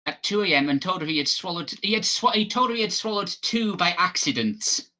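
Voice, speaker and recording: A man's voice: high voice, animated, fairly quick, pushed and bright. A man on a podcast panel reading news items aloud with casual, exasperated asides.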